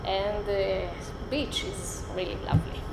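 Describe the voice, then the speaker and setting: A woman's voice: medium pitch, a little slow, vocal fry. A middle-aged Israeli woman, a Hebrew speaker, giving an unprepared guest lecture in English with many "uh" fillers, filmed by a far camera in a lecture room. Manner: animated, gestures a lot, many "uh" fillers. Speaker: college lecturer. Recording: roomy hall with background noise.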